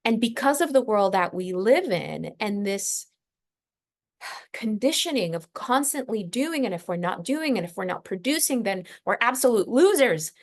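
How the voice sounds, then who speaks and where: A woman with an average-pitched voice gives an unscripted spiritual lesson as a podcast host. She is reflective and emphatic, stressing her points and leaving deliberate pauses inside her sentences as she thinks them through. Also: lively intonation.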